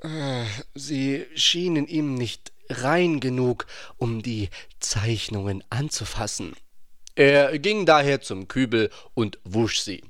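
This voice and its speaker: A man narrates a literary radio drama at a slow, measured pace. His mid-pitched voice is recorded close and dry, with no room around it. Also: no room sound.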